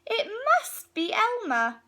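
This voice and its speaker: Woman voicing high, exclaiming storybook elephant. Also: huge pitch swings, slow, pushed and bright, heavily acted. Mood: astonished. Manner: exclaiming, emphatic. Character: astonished, amused elephants of a storybook herd.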